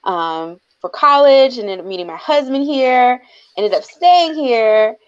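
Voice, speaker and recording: A woman in her thirties, from the DC area, talking as a podcast guest in an easygoing, soft-spoken way with frequent ums. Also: a little high, lively intonation, measured pace, clean tone, muffled recording. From DC area, USA. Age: 30s. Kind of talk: casual podcast chat. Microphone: remote call mic.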